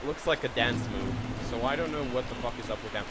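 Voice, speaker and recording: A man's voice: medium pitch, brisk, heavy background noise. Serbian-accented man streaming a game. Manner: chatty, swears, thanks viewers, reacts to gameplay. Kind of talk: casual live-stream commentary.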